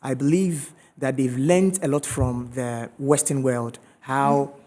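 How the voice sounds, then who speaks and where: A man's voice: medium pitch, steady tone, slow pace, dark tone. Hesitant man on a panel. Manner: hesitant, many fillers. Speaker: gospel musician guest. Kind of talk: studio interview answers. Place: TV studio.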